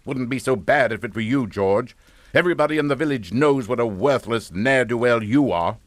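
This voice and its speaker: A man's voice: medium pitch, moderate pace, no room sound, lightly acted. An actor playing a pompous, domineering middle-aged English father in a radio suspense drama, scolding and emphatic. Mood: exasperated, scolding.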